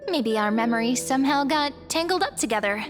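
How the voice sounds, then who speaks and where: A woman voicing a gentle, pensive young girl companion in a video game, musing a little hesitantly. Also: high voice, animated, fairly quick. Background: game music.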